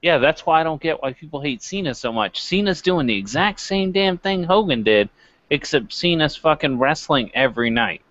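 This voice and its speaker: A man's voice: a little high, animated, moderate pace, a bit bright, no room sound. Man, dry and slightly muffled sound. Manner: insistent, repeats points, sweary. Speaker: wrestling review co-host. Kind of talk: casual opinionated debate.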